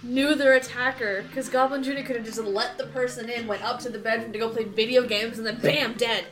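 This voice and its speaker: Higher-voiced woman talking through theories. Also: steady tone, fairly quick, fairly bright tone, faint music behind. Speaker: player. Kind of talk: casual chat.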